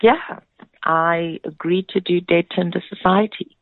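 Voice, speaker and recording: A woman's voice: lowish voice, fairly even intonation, measured pace, no room sound. A South African woman, a theatre director, speaking as a guest in a radio interview. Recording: narrow phone-like sound.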